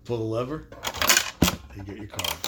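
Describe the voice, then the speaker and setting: A man's voice: fairly low pitch, animated, normal speed. A man as a podcast guest, chatting playfully, laughing often and stumbling over his words. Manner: giggly, stammering, playful. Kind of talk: casual chat. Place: home library. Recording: clear background noise with clicks.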